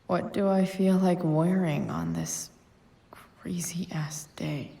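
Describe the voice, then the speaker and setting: A woman's voice: on the low side, steady tone, takes it slowly, vocal fry, dark tone, recorded from a distance. A young woman acting a sardonic, moody teenage girl, muttering inner thoughts dryly in a video game. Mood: muttering, resolved. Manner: muttering inner monologue, dry.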